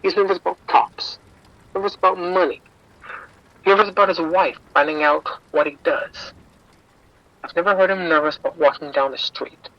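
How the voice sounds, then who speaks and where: A man's voice: high voice, steady tone, normal speed, pauses often, no echo, lo-fi sound. An actor playing a streetwise male bookie informant, wry and defensive, talking around the point in an audio drama.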